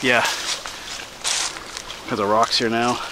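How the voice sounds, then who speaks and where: A middle-aged man from Pennsylvania casually narrating as he hikes a winter forest trail, relaxed and slightly out of breath. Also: medium pitch. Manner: relaxed, chatty, pauses for breath. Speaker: hiking vlogger. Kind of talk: casual narration while hiking. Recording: outdoor noise on camera mic.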